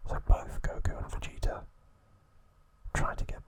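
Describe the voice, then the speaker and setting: A man whispering a calm ASMR recap, narrating softly with occasional fillers and self-corrections. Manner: soft, slow, unvoiced whispering close to the mic. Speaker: ASMR channel host.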